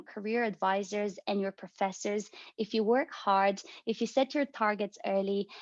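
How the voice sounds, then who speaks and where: Gravelly woman on a dull video call. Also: mid-range voice, no echo. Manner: steady, with frequent "uh" and "um" fillers. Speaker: corporate relations manager.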